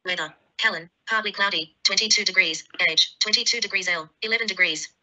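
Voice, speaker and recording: A woman's voice: medium pitch, a little fast, pushed and bright, poor audio. A synthetic woman's voice reading out as a phone assistant, clipped and impersonal. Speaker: phone voice assistant and screen reader. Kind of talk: synthetic readout.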